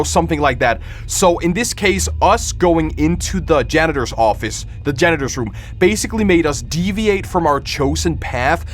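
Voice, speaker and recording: A man's voice: a little high, slight background noise. A man streaming casual reaction commentary on a horror game, thinking aloud as he reasons through his choices. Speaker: streamer, host. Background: music.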